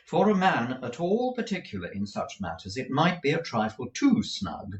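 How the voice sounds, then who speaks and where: A man's voice: medium pitch, huge pitch swings, moderate pace, lo-fi sound, pitched above the performer's own voice. A man voicing a polite but harried young male dramatist. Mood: explaining. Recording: roomy echo.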